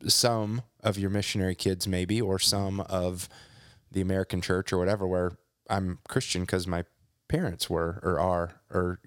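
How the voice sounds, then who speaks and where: A man co-hosting a casual podcast, speaking thoughtfully and haltingly, asking his guest questions. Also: low-pitched, little pitch movement, dry recording, clean studio sound. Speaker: podcast co-host.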